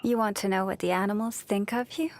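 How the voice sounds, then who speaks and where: A woman voicing a gentle, serene moon priestess in a video game, speaking softly and soothingly with a reverent manner. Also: medium pitch, steady tone, close to an everyday voice. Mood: calm, reflective. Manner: soft, soothing, unhurried, reverent. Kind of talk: scripted video game character voice lines.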